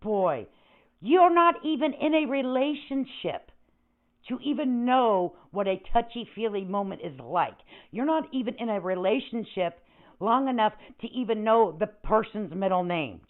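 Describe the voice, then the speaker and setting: A woman's voice: medium pitch, animated, a little slow, narrow, tinny audio. A woman giving mocking, confrontational commentary as a reaction show host, sarcastic and foul-mouthed. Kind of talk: mocking commentary on another creator's stream.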